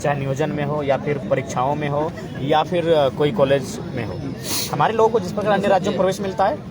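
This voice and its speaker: A man's voice: a little high, fast talker. A young man with a Jharkhandi accent, an activist giving emphatic, explanatory interview answers in an oratorical way, repeating phrases for weight. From Jharkhand, India. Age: young adult. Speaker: political activist being interviewed. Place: outdoors at a public gathering. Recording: noisy outdoor crowd and traffic.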